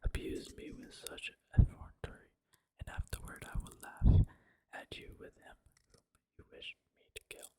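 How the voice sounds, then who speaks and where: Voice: normal speed, lightly acted. A person whispering the lines of a scheming, vengeful, seductive noblewoman while reading a novel aloud for ASMR. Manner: whispered, coaxing.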